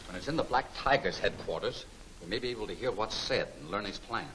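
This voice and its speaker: An actor playing a confident crime-fighting scientist boss in a 1940s film serial, assured and explanatory. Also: male voice, fairly low pitch, expressive, fast. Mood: explaining. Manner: assured, explanatory, quietly commanding. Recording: noisy old 1940s film soundtrack.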